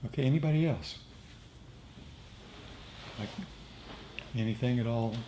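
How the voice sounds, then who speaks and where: A man gently instructing a meditation group in an informal, conversational way. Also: medium pitch, measured pace. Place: indoor meditation group meeting. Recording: clearly noisy room recording.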